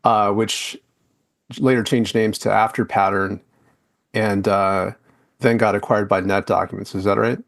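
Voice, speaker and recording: A man's voice: fairly low pitch, fairly even intonation, measured pace, gravelly. Man, podcast host, casual interview chat, rambling with fillers. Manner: chatty, loose, many fillers and restarts.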